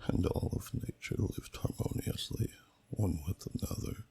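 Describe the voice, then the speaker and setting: A man voicing an ancient, solemn dragon who slowly tells a story in a deep, gravelly, breathy half-whisper, in ASMR style. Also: warm tone, lightly acted. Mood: wistful, reverent. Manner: slow, solemn, deliberate, archaic phrasing. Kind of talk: ASMR storytelling, scripted narration.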